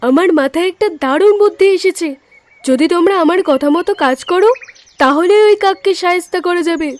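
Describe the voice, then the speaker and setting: A woman's voice: very high-pitched, brisk. A woman voicing a helpful, clever deer in a cartoon, urgent and earnest. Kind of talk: cartoon story voice acting.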